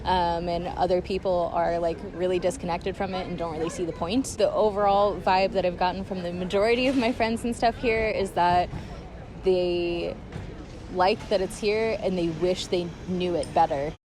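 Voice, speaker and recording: A woman's voice: fairly low pitch, steady tone, normal speed, a crowd in the background. Young Irish woman in a crowded street. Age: young adult. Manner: casual, filler-heavy. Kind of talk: street interview. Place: outdoors in public.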